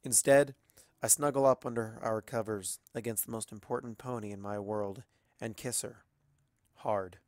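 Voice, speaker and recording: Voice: medium pitch, steady tone, normal speed, a little mellow, dry recording, studio quality. An amateur narrator reading a story expressively from a script.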